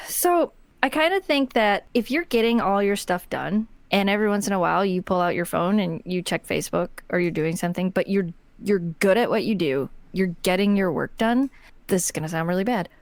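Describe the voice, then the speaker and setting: Podcast co-host: American woman, mid-range voice, casual animated storyteller, dry close sound. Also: medium pitch, a little fast, dry recording.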